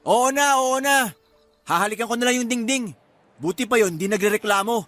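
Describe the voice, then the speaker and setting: A man's voice: very high voice, brisk, a bit bright, soft background music. A man playing a sulky, amorous, grumbling male partner in a Filipino radio drama.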